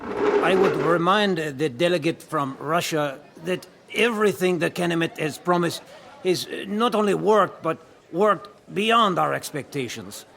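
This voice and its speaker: An actor playing an earnest, idealistic diplomat, speaking formally and emphatically in a radio drama. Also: male voice, fairly high pitch, expressive, unhurried, vocal fry, crowd noise. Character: earnest, idealistic male UN delegate, formally rebutting.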